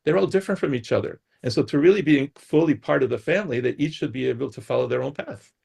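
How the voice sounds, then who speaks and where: A man's voice: medium pitch, lively intonation, quick pace, gravelly, darkish tone, breathy edge. A middle-aged man from the United States, a guest expert explaining ideas on a talk show and often checking in with "right?".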